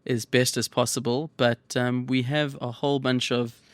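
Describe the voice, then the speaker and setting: A man's voice: medium pitch, level tone, moderate pace, dry recording. A man from South Africa, a film director, talking reflectively in a casual podcast interview. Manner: reflective, many fillers.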